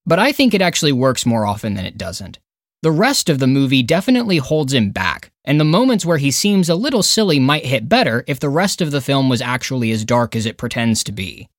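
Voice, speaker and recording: A man's voice: medium pitch, no echo, studio quality. A man reading a scripted review countdown as a podcast narrator and critic, with an emphatic, opinionated, dramatic way of phrasing.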